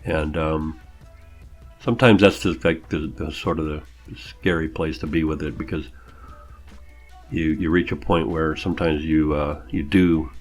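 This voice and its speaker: A man's voice: extremely low pitch, steady tone, measured pace, faint music behind. A man giving a casual art demo voice-over, rambling and thinking aloud with hesitant phrasing as an artist talking about his own work. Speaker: artist narrating own drawing video.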